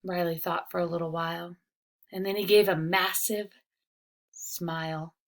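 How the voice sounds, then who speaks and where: A woman teacher reading a children's storybook aloud with expressive narration. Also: fairly low pitch, unhurried.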